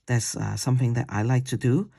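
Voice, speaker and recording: A man with a deep voice teaching a watercolor paint-along. He talks slowly while he paints and often checks in with "okay?". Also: fairly even intonation, vocal fry, dark tone, breathy edge. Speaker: watercolor instructor. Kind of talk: paint-along tutorial demo.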